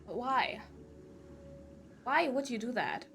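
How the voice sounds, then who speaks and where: A woman's voice: a little high, animated, takes it slowly, creaky, a bit bright, a little hiss or hum. A woman streamer commenting live on a game, outspoken and emotionally invested as she reacts aloud. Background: faint game music.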